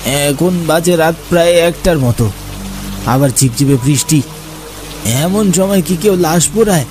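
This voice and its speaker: A man's voice: fairly high pitch, unhurried, pitched above the performer's own voice, lightly acted. A man voicing a wary, uneasy young man in a ghost cartoon, hesitant and questioning. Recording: music under the voice.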